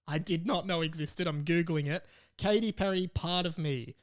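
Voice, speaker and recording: A young Australian man hosting a podcast solo, reading out listener messages and commenting on them in a chatty, informal way. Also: on the high side. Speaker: podcast host.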